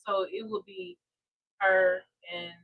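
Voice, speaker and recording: A woman's voice: medium pitch, big pitch swings, takes it slowly, gravelly, no room sound, phone-quality sound. A woman co-hosting a podcast with her husband, chatting casually and chiming in with short agreeing replies. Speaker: podcast co-host. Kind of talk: casual chat with listeners.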